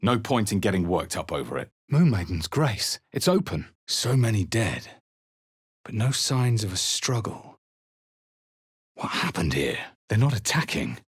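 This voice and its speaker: A man voicing an earnest, wry male adventurer hero in a fantasy video game, telling his quest in a measured, brooding, dramatic way. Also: lowish voice, sing-song, breathy edge, no room sound, clean studio sound, exaggerated voice. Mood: wry, musing. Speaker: video game player-character voice actor. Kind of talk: dramatic game narration and short character barks.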